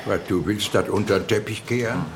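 An actor playing a genial, agreeable older uncle in a radio drama, with a folksy, easygoing way of talking. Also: male voice, lowish voice, a little fast, lightly acted. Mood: doubtful. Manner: folksy, easygoing, laughs along. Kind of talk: naturalistic radio drama acting.

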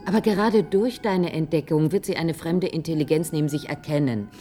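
A female actor plays an idealistic researcher in a radio drama. Her voice is deep for a woman and soft-edged, earnest and insistent, with a stubborn streak. Slight noise sits on the recording. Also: fairly quick, close to an everyday voice. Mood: earnest, indignant. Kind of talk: German radio play acting.

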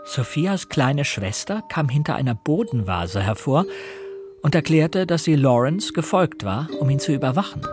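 An actor narrating a German radio murder mystery in the first person, in a measured, reflective way. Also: male voice, on the high side, animated, lightly acted. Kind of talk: scripted radio drama narration. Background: music.

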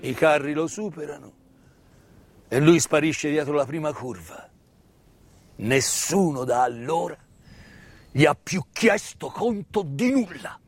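Solemn stage storyteller, male, high-pitched voice. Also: expressive, no room sound, faint music behind. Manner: solemn, dramatic, with repetitions and weighty pauses. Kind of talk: theatrical narrative monologue. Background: live musical accompaniment.

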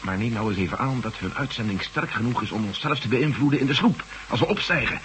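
A man playing a worried companion in a radio play, asking quick questions. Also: medium pitch, a bit bright, a little hiss or hum, lightly acted. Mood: urgent. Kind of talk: 1980s radio play acting.

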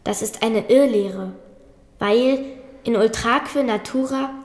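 A woman's voice: on the high side, slow pace. A woman playing an earnest, learned young medieval girl, precise and respectful, in a radio drama. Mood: assured recital. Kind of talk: German radio drama acting. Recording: roomy echo, faint music behind.

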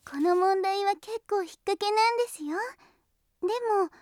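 A woman's voice: extremely high pitch, moderate pace, darkish tone, slight background noise. A woman playing a kind, studious, polite teenage schoolgirl in an anime audio drama. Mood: helpful, gentle.

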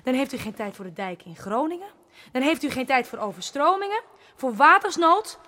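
A woman's voice: high voice, very animated, fairly quick, pushed and bright, heavily acted. An actress in a Dutch radio drama playing a determined, indignant young village woman who speaks insistently and emphatically. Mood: urgent, pleading.

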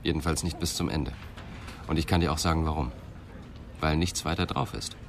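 An actor playing a calm, probing police inspector in a radio drama, measured and insistent. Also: male voice, rumbling low. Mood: musing, grave. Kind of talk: German radio drama acting. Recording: faint background noise.

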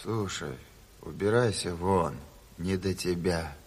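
Slow, stern, low-voiced man. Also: husky, in a large hall, full character voice. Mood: contemptuous. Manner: severe, reproachful, weighty. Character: stern, bitter revolutionary chairman, principled and contemptuous. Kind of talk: 1970s Soviet radio drama acting.

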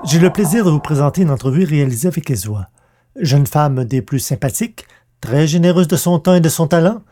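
A man's voice: a little high, mellow. A man presenting and interviewing on a podcast in a scripted announcing style.

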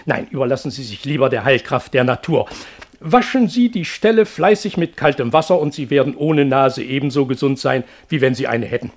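An actor playing a complacent, officious doctor in a German radio drama, blandly reassuring and deliberate. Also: male voice, mid-range voice, lively intonation, natural voice. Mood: officious, advising.

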